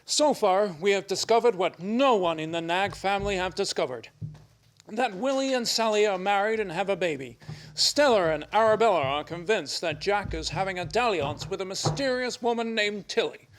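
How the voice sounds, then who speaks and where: A man's voice: high voice, animated, normal speed, a little hiss or hum. A man narrating a comic radio play from a script, as the storyteller between scenes.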